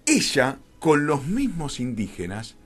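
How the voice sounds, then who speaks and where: Animated Argentine man telling a story. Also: mid-range voice. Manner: emphatic, uses rhetorical questions and dramatic pauses. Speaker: interview guest. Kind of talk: animated storytelling in a radio interview. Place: radio studio.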